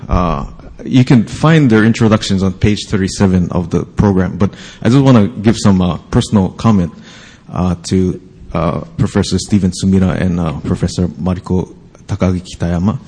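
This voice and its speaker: Low-voiced Hawaiian man moderating, halting. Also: mellow, breathy edge, in a large hall. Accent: Hawaiian English. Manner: halting, many fillers and pauses. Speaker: moderator introducing panelists. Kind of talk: hesitant formal introduction.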